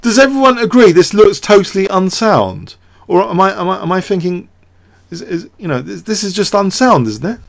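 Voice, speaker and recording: A man's voice: sits high, very animated, moderate pace, gravelly, hardly any pauses. A man giving off-the-cuff game commentary as a show host, hesitant and thinking aloud with repeated words.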